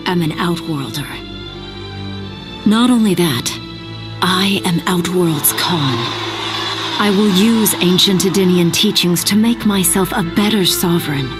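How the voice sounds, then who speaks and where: Warrior queen for a fantasy fighting game: lowish pitch, dignified, solemn, determined, over music. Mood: resolute. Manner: solemn, formal, earnest. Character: noble, resolute warrior queen, grown woman. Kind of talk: video game cutscene narration.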